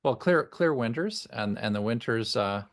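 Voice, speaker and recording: An older man chatting casually in a video meeting, halting and repeating words as he goes. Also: medium pitch, animated. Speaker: participant in a group video meeting. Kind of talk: casual chat.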